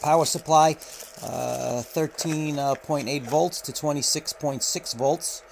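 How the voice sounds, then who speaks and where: Man talking slowly with many fillers. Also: fairly high pitch, gravelly, a little hiss or hum. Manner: unhurried, many filler pauses. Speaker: radio reviewer. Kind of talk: unboxing walkthrough. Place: indoor room at a table.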